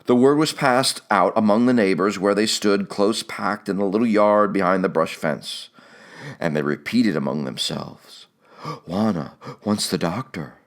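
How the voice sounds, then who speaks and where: A man narrating a literary audiobook in a measured, dramatic storytelling manner. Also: fairly low pitch.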